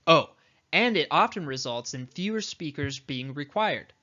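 A man's voice: on the high side, animated, normal speed, bright, forceful tone, lo-fi sound. A man reading scripted e-learning narration as an instructor, explaining things in a conversational way with rhetorical questions. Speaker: instructor narrating a product training video.

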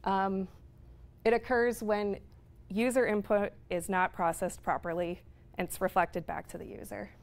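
Woman giving a technical security talk. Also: medium pitch. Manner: explanatory, with frequent fillers. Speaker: conference speaker. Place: lecture room with projector screen.

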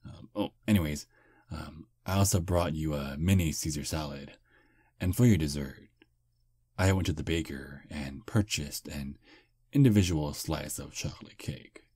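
A man playing a deep, charming vampire. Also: moderate pace, breathy edge, no echo, studio quality. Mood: smooth flirting. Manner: soft, flattering, gentlemanly. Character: charming polite male vampire on a first date. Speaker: voice actor. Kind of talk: ASMR roleplay.

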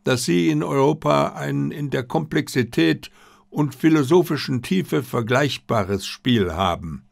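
Storybook old army general, acted by a male reader: proud, formal, stiff, pitched up, very dry sound. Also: expressive, unhurried, gravelly, no room sound, heavily acted. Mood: dignified.

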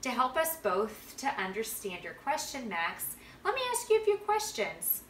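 Woman playing wise kindly grandpa, storybook, patient, encouraging, clear emphasis, bright, echoey room. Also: on the high side, huge pitch swings, moderate pace, distant mic. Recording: roomy home recording with background noise.

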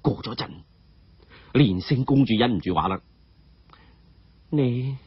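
A man's voice: lowish voice, lively intonation, moderate pace, breathy edge, no room sound, dull audio. A man narrating a story dramatically.

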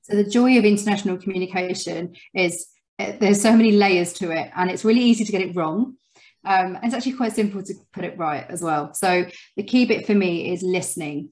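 A woman in her thirties talks through her ideas in a clear, structured way, with a voice on the low side for a woman. She is a guest on a video call from a room at home, speaking into an earphone mic. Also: a little mellow. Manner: articulate, structured explaining. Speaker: podcast guest, communications consultant. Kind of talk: conversational interview answers. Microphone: wired earphone mic on video call.

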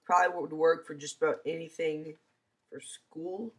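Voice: medium pitch, steady tone, moderate pace, a bit bright, slightly rough. A teenager with a stuffy, congested nose from a cold gives a casual, hesitant screencast review, full of ums, over a computer mic. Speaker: software reviewer.

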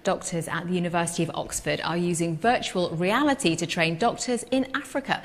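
A woman's voice: lowish voice, brisk. Woman news presenter, clip in a hall. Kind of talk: broadcast news reading.

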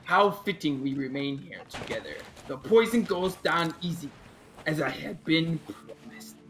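A man's voice: a little high, lively intonation, unhurried, a bit bright. A man chatting casually as a crewmate in a multiplayer game, with some playful role-play. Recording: game sounds and noise behind voice. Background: video game sounds.

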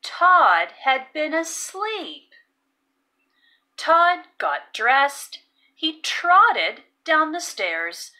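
A woman reading a children's picture book aloud and teaching phonics, expressive and deliberate, with sing-song emphasis on key words. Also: high-pitched. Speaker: children's read-aloud narrator.

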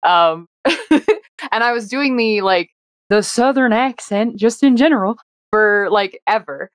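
A woman's voice: fairly high pitch, takes it slowly, no echo. A chatty woman voice actor talking casually on an online panel, peppering her sentences with "like". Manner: chatty, frequent "like". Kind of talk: casual live panel chat. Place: online voice call.

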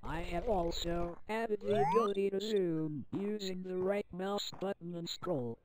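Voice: medium pitch, a little slow, darkish tone, slight background noise, lightly acted, low-quality recording. A synthetic female text-to-speech voice playing a cheerful male pet axolotl desktop assistant in a computer game, fawning and sing-song, with game music behind.

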